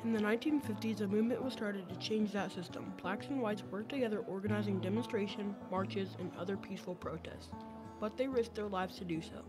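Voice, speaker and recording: A woman's voice: mid-range voice, fairly quick, over loud music. A woman reading scripted narration for an amateur radio drama.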